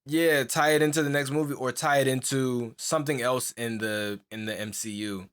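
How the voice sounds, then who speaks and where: A man's voice: mid-range voice, fairly even intonation, normal speed, fairly bright tone, clean tone. A young man co-hosting a podcast, talking in a loose, rambling way with lots of fillers and restarts. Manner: rambling, full of "like" and restarts, laughs often. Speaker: podcast co-host. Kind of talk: casual chat.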